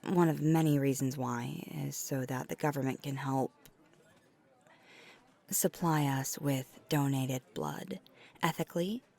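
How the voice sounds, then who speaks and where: A woman voicing a flirty, caring vampire in an intimate ASMR roleplay, soft-spoken and breathy, sometimes near a whisper, with halting hesitations. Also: rumbling low, full character voice. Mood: earnest, explaining. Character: flirty, caring female vampire on a dinner date.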